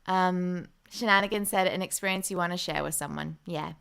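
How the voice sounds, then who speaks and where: A woman's voice: lowish voice, normal speed, creaky, fairly bright tone, smooth. A young adult woman, hosting a casual livestream, chatting and reading out viewer comments with lots of ums. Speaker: livestream host.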